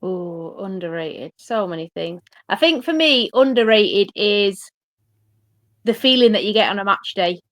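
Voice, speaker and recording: A woman's voice: medium pitch, animated, unhurried, fairly bright tone, no room sound. Earnest woman guest, dry close sound. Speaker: supporter club secretary, guest. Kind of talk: casual podcast interview.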